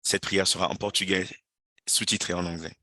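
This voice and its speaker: A man interpreting a webinar live, speaking in a measured way with pauses and restarts. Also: low-pitched, steady tone, bright, forceful tone, dry recording.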